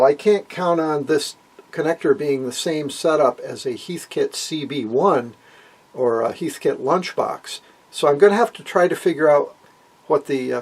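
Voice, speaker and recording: A man with a husky voice giving a casual, hands-on technical commentary, thinking aloud as he works. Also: a little high, warm tone. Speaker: host of an electronics repair vlog.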